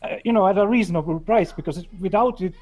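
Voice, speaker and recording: Audience caller in a casual chat, mellow tone, halting delivery with stammers. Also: medium pitch. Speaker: audience member asking a question.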